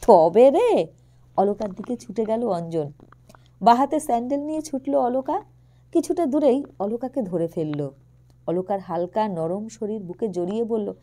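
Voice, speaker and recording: A woman reading a literary romantic story aloud as narrator, in an expressive storytelling style. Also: medium pitch.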